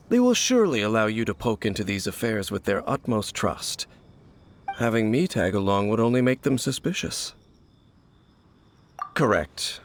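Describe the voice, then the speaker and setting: A man voicing a grave, low-voiced mysterious swordsman. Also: a little hiss or hum, natural voice. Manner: measured, solemn, terse, quietly cryptic. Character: mysterious, guarded wandering swordsman, grave and composed. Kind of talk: video game voice acting.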